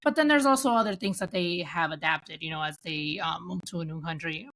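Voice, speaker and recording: A woman's voice: lowish voice, a little fast, fairly bright tone. A woman from the United States with Dominican family, telling personal stories casually as an interview guest, often acting out bits of dialogue. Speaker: podcast guest.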